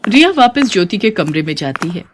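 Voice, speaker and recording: A woman reads a scripted moral tale as narrator. Her voice sits at an average female pitch, in storytelling style. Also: sing-song, brisk, a little hiss or hum.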